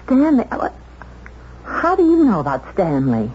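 An actress playing a prim, easily flustered but spirited young secretary in a 1970s radio drama, speaking with proper, hesitant diction. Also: female voice, mid-range voice, wildly swooping pitch, measured pace, husky, heavily acted. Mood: flustered, indignant. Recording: dull vintage radio recording.

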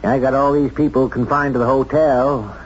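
Through the dull, slightly hissy sound of a 1970s radio drama comes a small-town American sheriff, acted by a man. He is plain-spoken and folksy, halting when he opens up, candid and unsure of himself, in a medium-pitched voice. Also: fairly even intonation, lightly acted. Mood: brisk, steady.

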